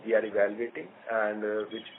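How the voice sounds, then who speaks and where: Indian executive on a tinny, noisy line. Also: male voice, lowish voice, monotone, fairly quick. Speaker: company executive answering analysts. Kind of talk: earnings call explanation. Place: conference phone call. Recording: tinny phone line with clear noise.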